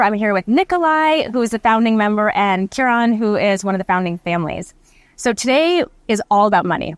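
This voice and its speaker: A woman hosting a podcast, welcoming the others and leading a relaxed chat. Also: medium pitch, dry recording. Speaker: podcast host. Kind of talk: casual chat.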